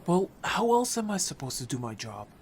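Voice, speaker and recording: Actor as upbeat merfolk scout, audio drama, animated intonation, casual, bold, clear watery background noise. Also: medium pitch, brisk. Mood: defensive, eager. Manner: casual, animated, playfully defensive. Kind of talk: audio story character acting.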